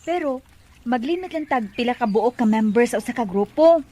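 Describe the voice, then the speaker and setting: An actress playing an excitable, teasing fifteen-year-old village girl in a Bisaya radio drama, with a Cebuano Filipino accent. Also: fairly high pitch, moderate pace, noisy background, lightly acted. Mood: chatty. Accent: Filipino, Cebuano-speaking.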